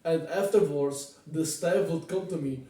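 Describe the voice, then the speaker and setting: A man's voice: a little high, a little slow, dark tone. A man from the Czech Republic with a Czech accent, telling stories casually in a rambling, repetitive, informal way. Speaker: vlogger. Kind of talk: rambling casual storytelling to camera. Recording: very echoey room, distant microphone.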